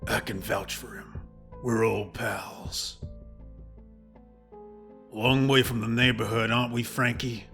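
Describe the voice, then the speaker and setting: Slow, creaky mob villain. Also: male voice, mid-range voice, fairly even intonation, fairly bright tone, faint music behind. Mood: smug menace. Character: menacing, smug male mob villain. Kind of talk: comic book dub villain acting.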